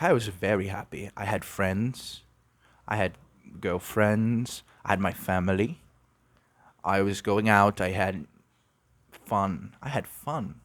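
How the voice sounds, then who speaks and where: A young man from Brazil hosting an unscripted podcast, musing and halting, with many restarts. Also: low-pitched, a bit bright, no echo. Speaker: podcast host. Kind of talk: unscripted reflective monologue.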